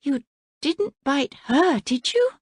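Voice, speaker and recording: A woman voicing a prim, polite young princess in a storybook reading, earnest and proper, raising her voice high. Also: very animated, takes it slowly, no room sound, full character voice. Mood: scolding.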